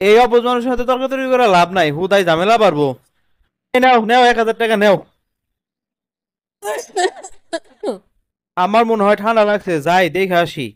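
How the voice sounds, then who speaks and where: A man's voice: high voice, fast talker, clean tone, dry recording, close to an everyday voice. A man voicing a put-upon, exasperated young cartoon husband with a protesting manner and a Bangladeshi accent. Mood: pleading, resigned. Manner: protesting, complaining. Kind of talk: comedy cartoon dialogue.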